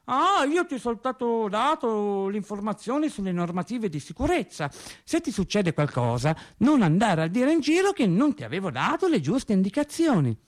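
A man's voice: high voice, sing-song, moderate pace, pitched above the performer's own voice. A man with a Tuscan accent playing an officious, menacing factory safety instructor with pedantic, bureaucratic wording and an aggressive manner.

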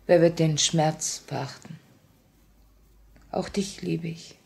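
An actress playing a grave, sorrowful woman revolutionary, tender yet resolute, with solemn, measured delivery in 1950s German radio drama. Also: low voice, fairly even intonation, gravelly, darkish tone, heavily acted. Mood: grave, restrained.

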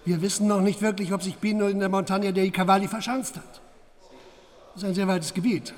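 A man's voice: sits high, steady tone, fairly quick, close to an everyday voice. An actor playing a determined police squad leader briefing his men with urgent, commanding delivery in a radio crime drama. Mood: businesslike. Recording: long echoing hall reverb.

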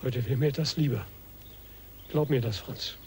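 A man's voice: mid-range voice, steady tone, unhurried, darkish tone, a little hiss or hum, natural voice. An actor playing an anxious older father and reluctant crook in an audio drama, fretful, grumbling and doubting. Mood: worried regret. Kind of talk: German audio drama acting.

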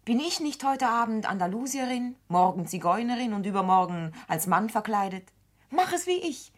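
An actress playing a lively, worldly young stage performer and sweetheart, coaxing and theatrical, in a radio drama. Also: female voice, a little high, normal speed. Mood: coaxing, playful.

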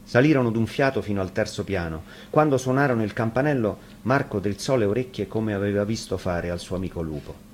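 A man's voice: fairly low pitch, lively intonation, fairly quick. A man reads a fairy tale aloud as a storyteller, in scripted narration. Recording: faint noise, live radio broadcast.

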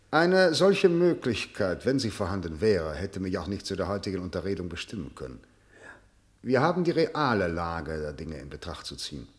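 An actor playing a coolly guarded senior prosecutor in a radio drama, speaking in a formal, measured, official manner. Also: male voice, lowish voice.

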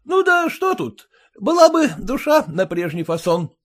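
A man's voice: extremely high pitch, sing-song, a little slow, pitched above the performer's own voice, exaggerated voice. A man voicing a hearty, boisterous newly rich man playing host to friends.

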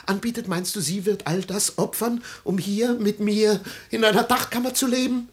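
A man's voice: high-pitched, fairly even intonation, moderate pace, slight background noise, lightly acted. An actor playing an anguished, suspicious father in a radio drama, emotional and declamatory, moving between muttering and outcry. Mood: suspicious, despairing. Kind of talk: theatrical radio drama acting.

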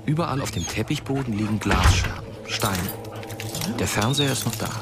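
A man's voice: lowish voice, expressive, brisk, very noisy. A man narrating a radio play from a script. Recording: studio radio play under loud music.

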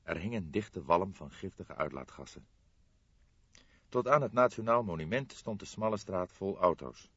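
A man's voice: low-pitched, lively intonation, normal speed, fairly bright tone, husky, dry recording, highs cut off. A man narrating a scripted story as an audiobook reader.

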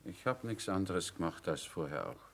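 A young condemned soldier in a radio drama. His voice is low and gruff, his words curt, defiant and bitter. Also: expressive, moderate pace, a little hiss or hum. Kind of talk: German radio play acting.

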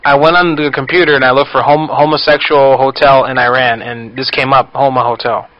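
A man making a prank phone call, talking casually in a deadpan, persistent way on a dull lo-fi recording. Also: medium pitch, brisk, dry recording. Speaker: prank caller. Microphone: telephone line.

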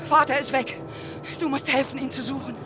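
A woman's voice: high-pitched, steady tone, fairly quick, close to an everyday voice. An actress playing a careworn, loving middle-aged mother in a radio play, anxious and tender. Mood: distraught. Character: careworn, loving working-class mother of a teenage boy. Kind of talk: German radio play acting.

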